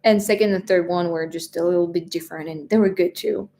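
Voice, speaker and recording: Woman, 20s, French accent, slightly low voice, easygoing live-stream chatter who rambles. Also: fairly even intonation, fast, dark tone. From France. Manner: chatty, rambling, lots of fillers and restarts. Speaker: travel vlogger answering live chat. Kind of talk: casual live-stream chat.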